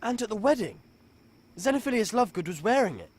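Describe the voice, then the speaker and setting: Casting: blunt, hot-headed young British wizard, high-voiced and swooping in pitch, emotional game drama. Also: male voice, sing-song, fast talker, darkish tone. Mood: earnest. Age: young adult. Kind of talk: dramatic film-style game acting.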